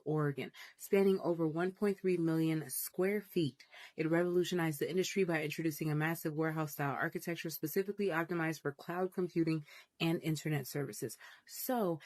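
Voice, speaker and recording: A woman with a notably low voice talks at a rapid clip. She explains things directly to her viewers in the style of a social media monologue. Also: darkish tone. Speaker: social media content creator.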